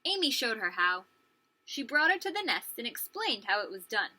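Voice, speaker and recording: A woman preschool teacher reading a story aloud to young children, expressive and pausing to ask them questions. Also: on the high side. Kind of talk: animated children's read-aloud with questions to listeners.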